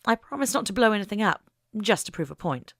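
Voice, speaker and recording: A woman narrator voicing a bold, determined younger female government agent, brisk and formal, in an audiobook. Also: medium pitch, expressive, a bit bright, no echo, clean studio sound, pitched above the performer's own voice. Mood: wry. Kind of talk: audiobook character dialogue.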